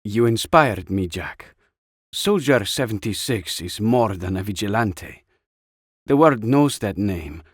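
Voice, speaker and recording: A man's voice: lowish voice, expressive, slow pace, breathy edge, dry recording, clean studio sound, exaggerated voice. A man voicing an older woman, a wry and protective veteran sniper, for a storybook narration. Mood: resolute.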